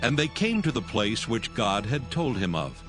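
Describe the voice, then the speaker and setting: A man narrates scripture from a script. His voice is on the low side, and faint music plays underneath. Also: big pitch swings, moderate pace.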